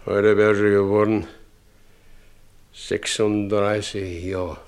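An actor in a German radio play voicing a humble, troubled middle-aged widowed carriage driver, plain-spoken and halting. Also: male voice, fairly low pitch, little pitch movement, clean tone. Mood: heavy, grieving.

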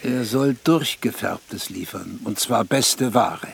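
An actor playing an imperious, demanding prince-elector in a radio drama, speaking in a stately archaic manner with a husky voice. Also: male voice, on the low side, slow pace, full character voice. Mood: commanding.

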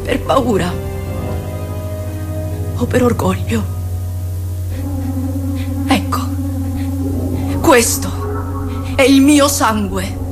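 An actress playing an anguished woman recounting her violent trauma in a dramatic, emotionally charged theatrical monologue full of pauses. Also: a little high, music in the background. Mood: grim, intense.

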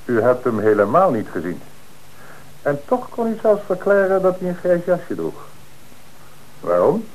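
A calm, ironic police inspector. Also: male voice, on the high side, highs cut off, heavily acted. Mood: accusing. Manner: measured, probing, ironic. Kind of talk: radio drama acting.

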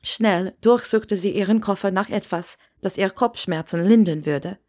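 A woman's voice: medium pitch, a little slow, a little mellow. A woman reading a scripted story aloud as its narrator. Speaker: narrator reading fan fiction.

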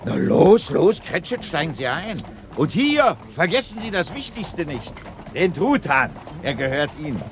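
An actor playing a reformed old miser in a 1960s radio drama, blustery and commanding, bursting with joy. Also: male voice, fairly high pitch, very animated, a little slow. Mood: jubilant. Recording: noisy 1960s radio play recording.

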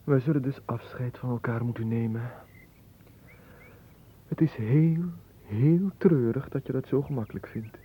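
Man acting a lovesick slow suitor in an old radio play, dull sound with some noise, mid voice, hesitant and plaintive. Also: medium pitch, close to an everyday voice. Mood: hurt, mournful. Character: lovesick, earnest, slow-witted big suitor, easily hurt. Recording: muffled, noisy old radio recording.

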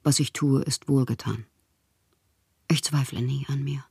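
An actress playing a proud, lovelorn woman poet in a literary radio drama monologue, theatrical and intimate with a husky, breathy voice. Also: extremely low pitch, fairly even intonation, unhurried, mellow, no echo, studio quality, heavily acted. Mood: desolate, proud. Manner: theatrical, poetic, intimate, at times whispering. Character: wounded, proud, lovelorn woman poet styling herself a prince.

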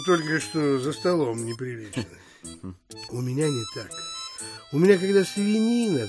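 A blustering male landowner from a classic audio play, opinionated and gruff. His high voice leaps up and down as he declaims his contempt, with faint music behind him. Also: heavily acted. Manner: emphatic, scornful, declamatory. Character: gruff, blunt, gluttonous landowner, grumbling and opinionated.

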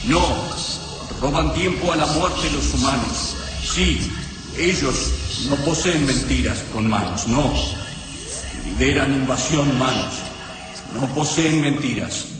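An actor playing a solemn, haughty alien invader in a radio drama, speaking in ritual, inverted sentences. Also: male voice, mid-range voice, lightly acted. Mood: haughty, insistent. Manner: ritual inverted syntax, deliberate delivery. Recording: very echoey, long reverb, music behind.

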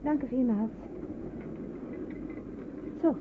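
A woman's voice: high voice, very slow, narrow, tinny audio. A woman acting a polite, hesitant young girl in hiding in a radio drama, on a noisy old recording. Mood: politely composed.

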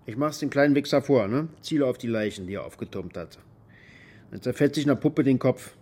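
A man acts out a contemptuous, bullying uncle in a naturalistic radio play. His voice is husky and rough-edged. He talks coarsely, swaggering and taunting as he goes. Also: medium pitch, a little fast, darkish tone, close to an everyday voice. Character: aggressive, boastful, contemptuous uncle with a gun. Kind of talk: naturalistic radio drama monologue.

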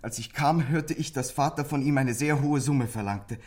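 An actor playing a troubled teenage boy in a German radio crime drama, bitter and frightened, with a husky, breathy voice and an intense, halting, confessional delivery. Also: medium pitch, darkish tone, close to an everyday voice. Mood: distraught.

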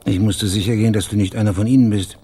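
Radio play casting: sober male detective, laconic and persistent, lowish rough-edged voice. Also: fairly even intonation, quick pace, dark tone. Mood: insistent. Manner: laconic, matter-of-fact, persistent. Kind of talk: radio drama dialogue.

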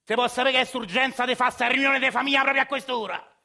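An actor playing a cranky, foul-mouthed ninety-year-old grandfather with a Roman accent, cursing and shouting his rants in a radio play. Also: extremely high pitch, steady tone, fast talker, gravelly, pitched above the performer's own voice, full character voice. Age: 90s. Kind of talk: radio theatre acting.

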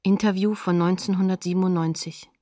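A woman's voice: on the low side, steady tone, a little fast, warm tone, no echo, studio quality. A woman announcer reading scripted, factual documentary credits.

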